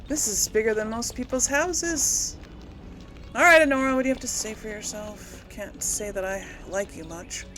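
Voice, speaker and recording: A woman's voice: a little high, normal speed, fairly bright tone, faint music behind. A woman hosting a casual let's play, musing aloud informally and hesitantly as she talks and role-plays through a game. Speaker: gaming video host.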